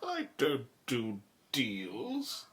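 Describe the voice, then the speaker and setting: Haughty academic, lively intonation. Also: male voice, medium pitch, drawn-out words, slight background noise, exaggerated voice. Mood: cold, dismissive. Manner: curt, condescending. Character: stubborn, haughty male academic rival. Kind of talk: audio drama acting.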